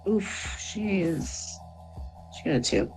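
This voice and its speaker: A woman's voice: mid-range voice, slow, a little mellow, faint music behind. A woman playing a tabletop role-playing game, talking casually in short reactions and brief descriptions of her character.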